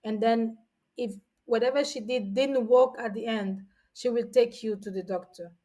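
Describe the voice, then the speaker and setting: Woman in her forties, from São Tomé and Príncipe, guest, chatty storytelling, somewhat high voice. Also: moderate pace. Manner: chatty, frequent restarts, animated retelling. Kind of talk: casual storytelling.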